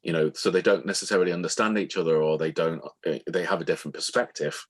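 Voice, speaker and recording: Male author guest, low husky register, halting and thoughtful explanations, conversational interview delivery.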